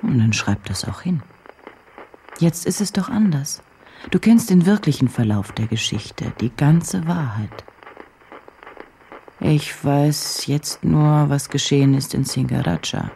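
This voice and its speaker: An actress playing a probing, self-doubting journalist in a radio drama, speaking deliberately with pauses, as if weighing and dictating each phrase. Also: female voice, low-pitched, lively intonation, vocal fry, warm tone, slight background noise, exaggerated voice. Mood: troubled, reflective.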